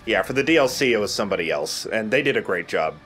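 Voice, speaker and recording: A man's voice: fairly low pitch, expressive, fast talker, a bit bright, faint music behind. A man hosting a let's play with casual, conversational, explanatory commentary.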